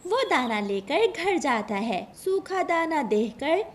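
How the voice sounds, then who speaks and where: Casting: female storyteller for children's cartoon, high voice, very animated intonation. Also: slow pace, a little hiss or hum. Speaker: story narrator. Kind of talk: scripted children's cartoon narration in Hindi.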